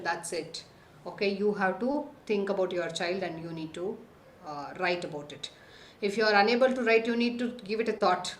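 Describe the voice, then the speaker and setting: A woman's voice: mid-range voice, echoey room. Woman leading an online parenting class. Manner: reads lists of answers aloud, checks in with the class often. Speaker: online parenting workshop host. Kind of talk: live online class, reading chat answers aloud. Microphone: computer mic on a video call.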